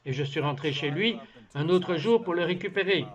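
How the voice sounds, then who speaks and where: A man with a notably high voice reads a dubbed voice-over of a personal testimony. His delivery is earnest and measured, and the sound is somewhat dull and muffled, like a lo-fi recording. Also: lively intonation. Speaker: voice-over translator.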